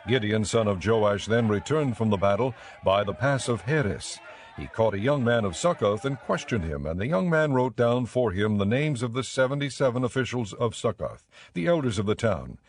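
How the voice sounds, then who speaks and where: A man narrating scripture as a scripted audiobook in a measured, solemn manner. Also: fairly low pitch, big pitch swings.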